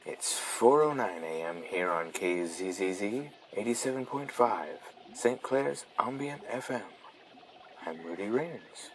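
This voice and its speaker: A slow, soothing late-night radio DJ played by a man keeps things mellow and calm. His unhurried patter sits in loud ambient noise, like an easygoing station for sleepy listeners. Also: lowish voice. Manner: soothing, unhurried, hushed-morning delivery.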